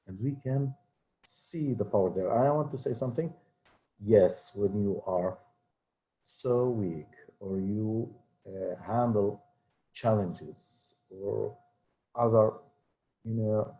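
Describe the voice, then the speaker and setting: Slow, low-voiced male teacher. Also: dark tone, rough recording. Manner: hesitant, with many fillers. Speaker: religious teacher. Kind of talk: informal lesson talk.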